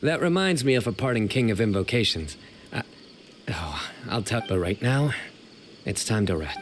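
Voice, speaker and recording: An actor playing a stern young warrior in an anime game, solemn and deadpan with formal, dryly theatrical lines. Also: male voice, on the low side, moderate pace, a little hiss or hum, close to an everyday voice. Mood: calm.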